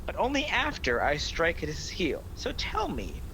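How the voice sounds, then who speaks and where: An actor playing a sly, proud devil who taunts and tempts in a sardonic, theatrical, persuasive manner in a radio play. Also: male voice, fairly high pitch, huge pitch swings, normal speed, sharp tone, exaggerated voice. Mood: boastful, challenging. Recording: noisy home video-call recording.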